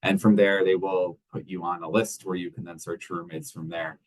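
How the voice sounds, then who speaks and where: A man's voice: deep voice, fairly even intonation, a little fast. A man on a webinar panel, answering a student question in a plain, practical way. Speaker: housing staff panelist.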